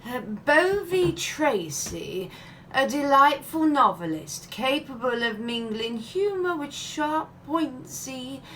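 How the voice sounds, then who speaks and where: Actress as prim proud mature lady, slow careful talk, easily embarrassed, comedy audio play, bit of noise. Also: sits high, sing-song, a bit bright, exaggerated voice, poor audio. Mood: curious, genteel.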